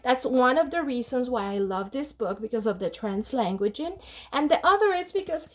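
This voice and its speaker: A woman's voice: on the high side, lively intonation, moderate pace. A woman teacher talking to children before a read-aloud, asking them questions and mixing Spanish with English. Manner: bilingual, mixes Spanish and English mid-sentence. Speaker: dual language teacher.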